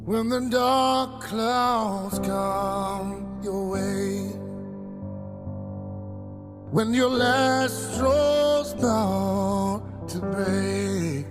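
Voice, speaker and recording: A woman singing a slow, tender song. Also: mid-range voice. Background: soft lullaby-like music accompaniment.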